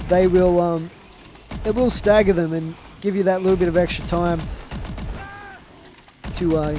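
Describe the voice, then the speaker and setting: Congested young male gamer, higher voice, loose casual commentary, light game noise underneath. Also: a little mellow. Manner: chatty, trailing off with fillers. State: stuffed up from a chest infection. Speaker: gaming commentator.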